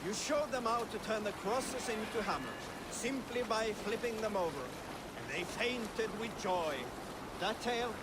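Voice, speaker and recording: Heavy water and boat noise runs behind a Viking crewman in a video game. The voice sits high, with a mocking, dramatic storyteller's delivery. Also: expressive, measured pace. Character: gruffly teasing Viking crewman telling sea tales. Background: water and boat ambience.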